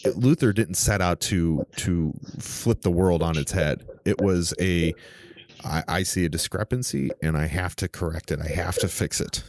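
A man in his thirties hosting a casual theology podcast, talking in a halting, searching way. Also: sits low, a little mellow, no echo, clean studio sound. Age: 30s. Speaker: podcast host.

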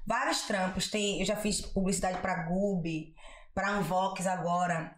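A woman's voice: on the low side, fairly even intonation, a little slow. Chatty Bahian woman in an echoey room. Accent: Bahian Portuguese. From Salvador, Bahia, Brazil. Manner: chatty, playful, laughs at herself. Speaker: guest, comedy influencer. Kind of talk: casual interview chat.

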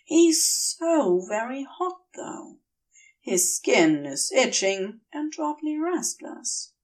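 Slow, expressive woman reading a story. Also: sits high, distant mic. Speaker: fan fiction audiobook reader. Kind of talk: expressive scripted story narration.

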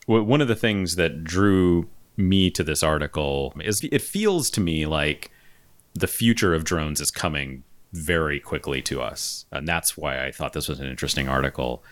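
A man's voice: very deep voice, moderate pace. A man hosting a casual podcast, chatting easily and reading out listener topics and news items. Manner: relaxed, conversational, reads out topics. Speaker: podcast host.

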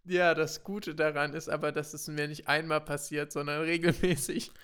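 A young man from Germany, a podcast co-host, telling stories in a relaxed way, laughing often and mixing in English words. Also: fairly high pitch, normal speed, clean tone. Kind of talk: casual chat.

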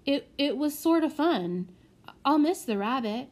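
A woman's voice: high voice, lively intonation, a little slow, a little mellow, voice pushed up. Woman as a timid, obedient orphan boy. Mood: shyly gruff. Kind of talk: children's storybook character voice.

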